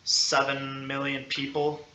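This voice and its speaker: A male sports podcast host with a very bright, punchy voice. He talks casually and playfully, teasing and hyping up his guests. The sound is noticeably roomy. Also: mid-range voice, monotone, slow pace, slight background noise. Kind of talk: casual sit-down sports interview.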